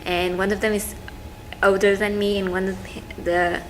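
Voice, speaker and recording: A young woman with a Vietnamese accent answers informally in a mid-pitched voice. She is halting and often searches for her words, with noticeable noise in the recording. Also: flat delivery. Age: young adult. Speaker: student interviewee. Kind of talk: casual, informal talk about family. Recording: clearly noisy room recording.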